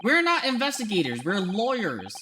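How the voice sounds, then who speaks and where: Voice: mid-range voice, huge pitch swings, normal speed, background noise. A streamer casually commenting on a game playthrough, rambling, swearing and laughing.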